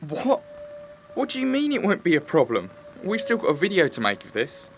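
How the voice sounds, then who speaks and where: A man's voice: high voice, wildly swooping pitch, a little fast, vocal fry, soft background music, full character voice. Flustered young man, swooping intonation. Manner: hesitant, apologetic, easily flustered. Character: sheepish, excitable young man, amateur video maker. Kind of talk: audio drama acting.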